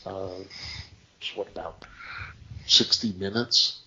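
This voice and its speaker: A man telling anecdotes in casual podcast chat, speaking in short phrases broken by pauses. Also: low-pitched, fairly even intonation, pushed and bright, dull audio, slight background noise. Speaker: podcast co-host.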